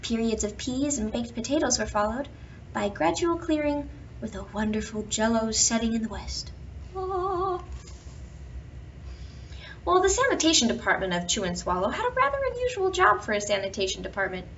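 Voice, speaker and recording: A woman reading a children's picture book aloud, with expressive emphasis and drawn-out words in a storytelling manner. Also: on the high side. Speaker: children's bedtime story reader. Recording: dull, distant home recording with background noise.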